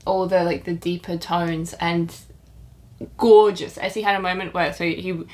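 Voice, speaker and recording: A young woman co-hosting a podcast, talking in a warm, effusive way with lots of hesitations. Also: on the low side, level tone, unhurried. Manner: effusive, hesitant with many ums. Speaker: podcast co-host. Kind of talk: casual review chat.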